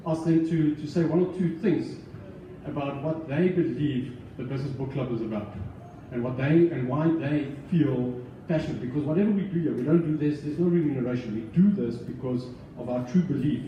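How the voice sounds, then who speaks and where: A man's voice: medium pitch, warm tone, distant mic, muffled recording. Host guy introducing people, earnest, restarts a lot, echoey room, faint background hum. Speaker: book club founder and host. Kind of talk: speech to an audience.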